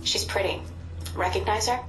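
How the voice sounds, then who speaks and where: A woman playing a composed, cautious intelligence handler in a video game, speaking in a measured, businesslike way. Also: mid-range voice, a bit bright, lightly acted, low-quality recording. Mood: businesslike briefing. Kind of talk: video game cutscene acting. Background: prominent music.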